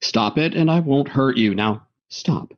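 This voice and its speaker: A man's voice: medium pitch, measured pace, lo-fi sound, lightly acted. A man playing a blunt, threatening escaped convict in 1940s radio acting style, giving curt commands. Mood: menacing.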